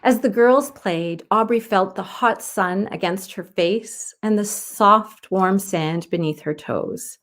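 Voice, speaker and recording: A woman reading a children's story aloud as narrator, in an expressive style. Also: medium pitch, takes it slowly, few pauses.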